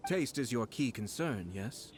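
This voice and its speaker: An actor playing a calm, refined, polite noble commissioner in a video game. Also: male voice, medium pitch, close to an everyday voice. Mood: measured. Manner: composed, measured, polite. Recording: game audio, faint music.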